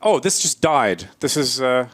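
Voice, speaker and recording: A man's voice: medium pitch, very animated, unhurried, a bit bright. A man in his forties from Belgium giving a conference keynote, hesitant with many fillers and restarts, cracking self-deprecating jokes. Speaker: keynote speaker. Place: conference stage before an audience.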